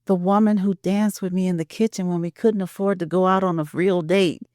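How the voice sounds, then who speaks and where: A woman playing a devoted, romantic middle-aged husband in an audio drama, speaking softly and earnestly. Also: fairly low pitch, fairly even intonation, a little fast, no room sound, clean studio sound, pitched above the performer's own voice, close to an everyday voice. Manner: soft, earnest, intimate.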